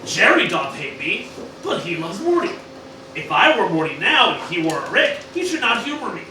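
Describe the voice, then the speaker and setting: Arrogant old mad-scientist cartoon villain, acted by a man, sweary and declamatory, high voice, recorded roomy and distant. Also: big pitch swings, normal speed, pushed and bright, full character voice. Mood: scheming. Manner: ranting, swearing, declamatory, sometimes stumbling over lines. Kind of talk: amateur comedic Shakespeare parody performance. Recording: roomy, distant mic, noisy room.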